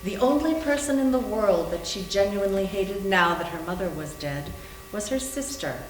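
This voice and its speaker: A woman's voice: mid-range voice, lively intonation, moderate pace. A woman giving a scripted dramatic reading of a novel in a readers theater. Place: indoor room, live library reading. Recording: echoey room with faint hum.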